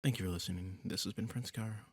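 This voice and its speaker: Male creator for an outro read: low, husky voice, dry close sound, running through acknowledgements. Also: steady tone, quick pace, no echo. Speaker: audio roleplay creator thanking supporters. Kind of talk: scripted outro, reading a list of names.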